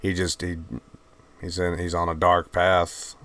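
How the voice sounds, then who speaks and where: A man with a mixed Virginian and Georgian Southern accent, hosting his channel and talking in a subdued, halting, heartfelt way. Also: low voice, monotone, fairly bright tone. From Virginia, USA. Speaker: channel host. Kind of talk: reflective personal talk to camera.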